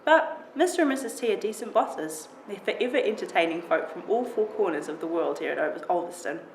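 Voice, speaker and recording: A woman giving an expressive reading of her own first-person short story. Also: medium pitch, brisk. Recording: roomy echo with clear background noise.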